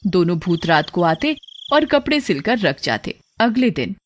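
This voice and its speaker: A woman reading a scripted cartoon story as narrator, in a plain, measured storytelling manner. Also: on the low side, big pitch swings, a little hiss or hum.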